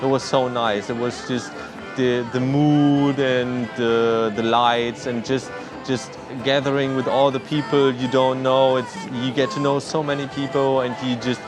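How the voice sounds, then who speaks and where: A man's voice: medium pitch, little pitch movement, measured pace. A man at a festival recounting his first day, searching for words and repeating himself. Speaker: festival participant. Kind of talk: festival interview. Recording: outdoors with crowd and music.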